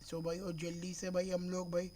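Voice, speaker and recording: A man from Mumbai streaming a cricket video game, slightly hoarse from a sore throat, thinking aloud and calling his viewers "bro" in nearly every phrase. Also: high-pitched, completely flat delivery, normal speed, warm tone, slight background noise. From Mumbai, India. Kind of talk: live gameplay stream chat.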